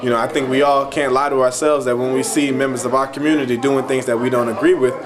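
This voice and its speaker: An American man gives reflective commentary as a presenter. His voice is mid-pitched, and he muses in questions. A busy crowd chatters behind him. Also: little pitch movement, fast talker. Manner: musing, poses rhetorical questions. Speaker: presenter discussing a play.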